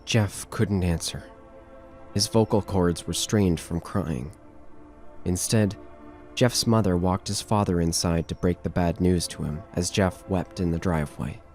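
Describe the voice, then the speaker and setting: A man's voice: low voice, a little slow, a little mellow, faint music behind. A man reading scripted narration for a horror audio drama.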